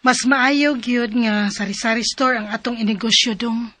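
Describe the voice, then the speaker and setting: Determined wife with business plans. High voice, Cebuano accent, radio drama delivery. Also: female voice, steady tone, normal speed, bright, forceful tone, a little hiss or hum. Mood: eager, assured. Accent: Cebuano Filipino.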